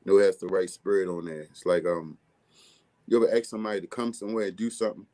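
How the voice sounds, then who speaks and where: A man from with a deep, bass-heavy, mumbly voice, talking casually as a music artist guest in an interview. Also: fast talker, a little mellow. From Baltimore, USA. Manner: mumbly, laid-back streetwise delivery.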